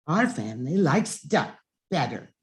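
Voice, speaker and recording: A woman voicing a calm, assured old grandmother in a children's story, matter-of-fact and settled. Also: low voice, unhurried. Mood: calm assurance.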